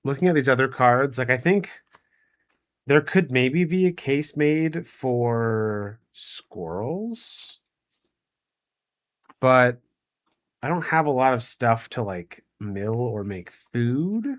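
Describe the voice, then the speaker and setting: A man chatting casually on a live game stream, hesitantly thinking out loud with dry playfulness. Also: medium pitch, animated, takes it slowly. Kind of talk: casual live-stream chat while building a card deck.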